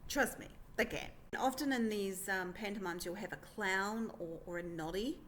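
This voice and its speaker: A woman's voice: mid-range voice, moderate pace, a bit bright. Chatty woman presenter with background noise. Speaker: presenter, pantomime performer. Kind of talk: informal explainer talk to camera.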